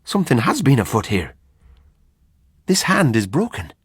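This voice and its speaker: A man's voice: medium pitch, big pitch swings, normal speed, breathy edge, no echo, clean studio sound, voice pushed up. A man doing a hearty Irish doctor with a brogue. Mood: startled. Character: hearty Irish doctor, tall and blunt, laughing.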